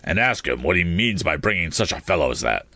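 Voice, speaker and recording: Domineering lawyer in a storybook reading, voiced by a man, stern and clipped, low voice. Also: very animated, brisk, creaky, sharp tone, no room sound, heavily acted. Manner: curt, severe. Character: stern, domineering middle-aged male lawyer.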